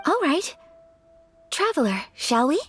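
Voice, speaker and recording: A woman's voice: sits high, big pitch swings, unhurried, soft background music, heavily acted. A woman playing a polite, shy young noblewoman in a video game, formal and a little hesitant. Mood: earnest, gentle. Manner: soft-mannered, formal, hesitant.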